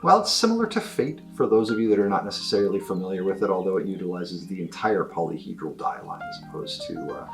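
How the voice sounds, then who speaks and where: A man's voice: low voice, animated, quick pace, few pauses, soft background music. A middle-aged man giving a casual, enthusiastic and discursive review of new tabletop games as a store presenter. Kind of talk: casual product review talk to camera.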